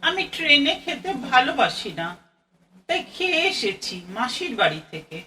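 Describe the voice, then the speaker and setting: Radio play role: actress as polite young woman traveller, bright, lively intonation, deferential. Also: high voice, normal speed, exaggerated voice. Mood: polite, cheerful. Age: young adult. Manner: courteous, deferential to her elder. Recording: distant mic, faint background noise.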